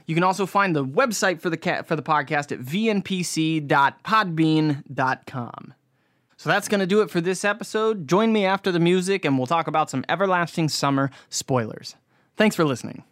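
American man, young adult, slightly high voice, podcast host, casual solo chat, rambling, informal, self-deprecating. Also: lively intonation, moderate pace, a bit bright. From United States.

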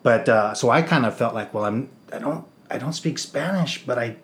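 A middle-aged American man speaks as a guest in an interview. His voice sits at an average pitch, and he tells personal stories in a loose, conversational way, often quoting what people said. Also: normal speed. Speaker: interview guest, theater producer and actor. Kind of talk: casual personal storytelling.

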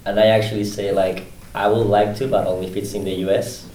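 A man's voice: on the low side, little pitch movement, fast talker, warm tone. A seventeen-year-old male exchange student from Spain, a native Spanish speaker, chatting in an interview. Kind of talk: casual interview answers. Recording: roomy, distant mic, faint noise.

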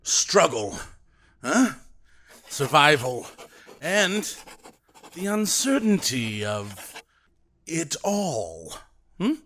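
An actor playing a brash, commanding theatre director in a period audio drama, theatrical, self-assured and insistent. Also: male voice, on the high side, sing-song, extremely slow, long pauses, a bit bright, a little hiss or hum, heavily acted. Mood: intense, groping. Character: brash, commanding young theatre director pitching radio shows.

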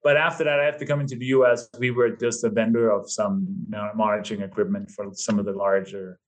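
A man's voice: on the low side, quick pace. Man in his fifties with a slight Danish accent. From Denmark. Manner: relaxed storytelling with fillers. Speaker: podcast guest. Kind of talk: casual interview chat.

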